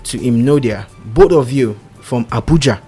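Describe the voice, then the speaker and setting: A man's voice: mid-range voice, moderate pace, warm tone, slightly rough, faint music behind. A male radio host interviewing two contest finalists, encouraging them and laughing along.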